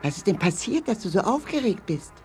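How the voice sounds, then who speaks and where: A woman voicing a clever, cheerful hare in a children's audio play, with a jolly, animated manner. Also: mid-range voice, fairly quick, slight background noise, natural voice. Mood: curious, concerned.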